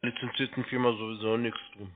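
A man's voice: lowish voice, flat delivery, fast. A man working as a call center agent, talking informally with a customer on the phone. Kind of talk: informal phone sales conversation. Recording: band-limited phone-call audio.

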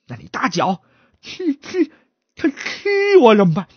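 A brash, bossy constable who never stops talking and scolds his partner, as a male storyteller acts him out. His pitch swoops wildly and the voice is husky. The recording is dry and dull. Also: huge pitch swings, dry recording, heavily acted. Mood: scolding. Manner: scolding, brash, fast talk. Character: bossy, quick-tongued chatterbox constable. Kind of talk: traditional storytelling, acted dialogue.

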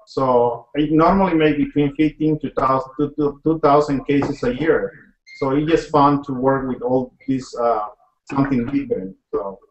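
Man, 30s, winemaker, halting plain talk, video call, muffled roomy sound. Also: mid-range voice. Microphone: video call webcam. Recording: dull echoey webcam audio.

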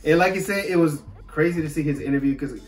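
A man's voice: fairly high pitch, fast. An American man in his thirties chatting casually as co-host of a reaction video. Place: indoor room with fabric backdrop. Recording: echoey room, distant microphone.

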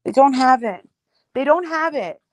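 A woman's voice: high voice, animated, measured pace, no echo. A woman guest on a livestream panel, chatting casually with strong opinions and repeating phrases for emphasis.

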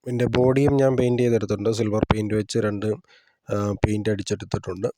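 Flat, low-voiced man, muted tone. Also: rapid-fire, slight background noise. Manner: plain, step-by-step explaining. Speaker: electronics hobbyist presenter. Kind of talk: casual hands-on tutorial narration.

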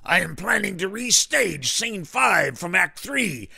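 An actor playing a pompous, dismissive theatre director with a grandiose, emphatic way of talking. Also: male voice, high voice, sing-song, slow pace, gravelly, bright, forceful tone, slightly rough, no room sound, studio quality, heavily acted.

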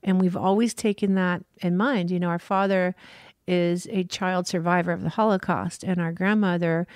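A woman's voice: fairly low pitch, fairly even intonation, moderate pace, a little mellow, dry recording, clean studio sound. Passionate woman surf school founder. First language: French. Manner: earnest, passionate, laughs easily. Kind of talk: casual interview talk.

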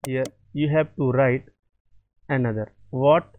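A man walking through a software tutorial, halting and often repeating or correcting himself. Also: medium pitch, steady tone, phone-quality sound. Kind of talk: screen-recorded software tutorial.

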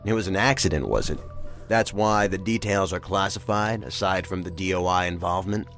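A no-nonsense senior agent with a deep voice. He is terse and probing, in science fiction audio drama style with soft music behind. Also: fairly quick.

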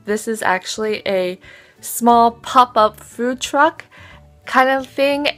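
A woman's voice: mid-range voice, a bit bright. A young woman chatting upbeat in a casual haul vlog, filmed on a phone at arm's length in a bedroom. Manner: chatty and upbeat, with frequent ums. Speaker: vlogger. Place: indoor bedroom, close to camera. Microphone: phone camera at arm's length.

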